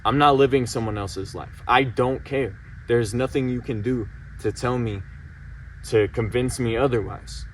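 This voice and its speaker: A man's voice: mid-range voice, unhurried, far from the mic, slight background noise, low-quality recording. A young man about to turn eighteen, venting his frustration in a heated, insistent way and repeating himself. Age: late teens. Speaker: vlogger. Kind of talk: emotional personal vent to camera.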